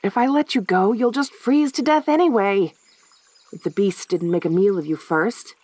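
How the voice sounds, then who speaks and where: A woman playing a lonely, possessive centaur girl in a soft-spoken audio roleplay, with a halting, stammering delivery. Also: mid-range voice, no room sound, natural voice. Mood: desperate, forceful.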